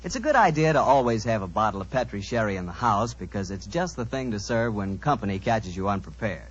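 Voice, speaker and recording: A man's voice: on the low side, sing-song, a little fast, slightly rough. A man with an American accent announcing in 1940s radio style, folksy and salesmanlike. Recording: muffled vintage 1940s radio recording.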